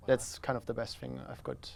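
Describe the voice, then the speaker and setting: A young man from Germany, making relaxed, dry remarks as a student guest. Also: medium pitch, moderate pace, creaky, a little hiss or hum. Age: young adult. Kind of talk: casual interview answers.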